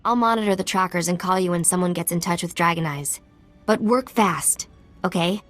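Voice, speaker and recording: An actress playing an earnest, resourceful informant in a video game, speaking in short, clipped sentences. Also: female voice, on the low side, moderate pace, fairly bright tone, soft background music, natural voice. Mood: earnest, urgent.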